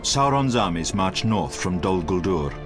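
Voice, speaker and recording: A man's voice: deep voice, lively intonation, a little slow. A man narrating a fantasy war game as a solemn epic storyteller. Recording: loud music over the voice. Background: loud game music.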